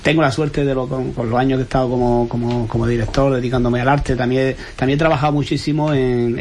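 A man hosting a casual live-stream chat, agreeable and quick to affirm his guest. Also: medium pitch, a little hiss or hum. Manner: agreeable, frequent affirming interjections. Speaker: host, former art school director.

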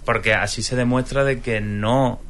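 A man's voice: medium pitch, steady tone, normal speed, a bit bright. A man from in a casual film discussion, opinionated and fond of rhetorical questions. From Spain. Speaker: podcast panelist.

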